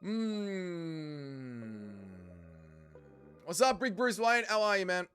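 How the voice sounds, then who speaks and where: A man's voice: high-pitched, big pitch swings, very slow, bright, forceful tone, smooth, faint music behind. A young man, hosting a casual livestream and bluntly arguing with chatters he reads out. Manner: heated, blunt, calls chatters trolls. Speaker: livestream host reading chat.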